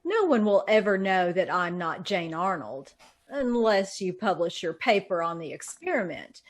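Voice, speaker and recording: A woman's voice: fairly low pitch, big pitch swings, moderate pace. Cold, scheming radio-drama murderess played by a woman. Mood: smug. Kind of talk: old-time radio drama acting.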